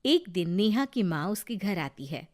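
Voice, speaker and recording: A woman narrating a cartoon moral story in a calm, even storytelling manner. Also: mid-range voice, measured pace.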